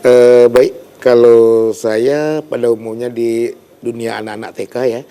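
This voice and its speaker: A man's voice: medium pitch, level tone, measured pace, dark tone, clean tone. A man who is a storyteller and teacher, explaining hesitantly in a TV studio interview and searching for words with many fillers.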